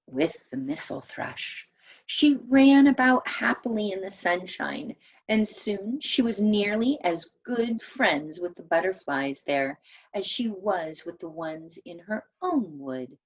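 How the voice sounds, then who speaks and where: A woman reading a children's story aloud as an expressive storyteller. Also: fairly low pitch, takes it slowly, breathy edge, dull audio.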